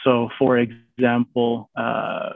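A hesitant man from Canada talks as a guest, stopping often to find his words. His voice stays flat and even. It comes through a dry, tinny line that sounds like a phone call. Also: medium pitch, creaky, no room sound. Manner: hesitant, many pauses and fillers. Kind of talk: casual interview talk.

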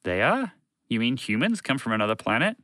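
A man's voice: medium pitch, sing-song, moderate pace, bright, forceful tone, no room sound, clean studio sound, pitched above the performer's own voice. A man voicing a bewildered, persistent talking dog in a children's story, questioning and incredulous.

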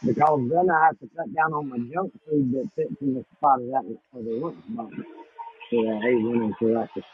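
A man with a very raspy, husky voice co-hosts a podcast in casual chat, blunt and teasing. He comes through on a dull, lo-fi recording. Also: medium pitch, steady tone, fairly quick. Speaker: podcast co-host.

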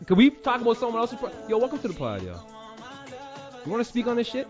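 A man's voice: very high voice, wildly swooping pitch, fast talker, darkish tone, muffled recording, soft background music. A man co-hosting a casual podcast, joking around and swearing freely. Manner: joking, brash, profane. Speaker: podcast co-host.

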